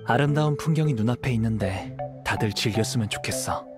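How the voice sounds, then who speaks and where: A man voicing a calm, courteous young wandering swordsman in a video game, speaking softly, politely and with measured thought. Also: medium pitch, over music, close to an everyday voice. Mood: thoughtful, gentle.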